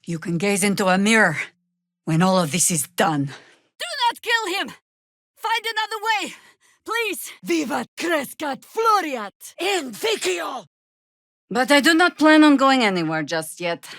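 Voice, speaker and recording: An actress playing a stern, battle-hardened older druid heroine in a fantasy video game, dry, sardonic and commanding in delivery. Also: female voice, high voice, big pitch swings, measured pace, a bit bright, no room sound, full character voice. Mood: urgent battle cries.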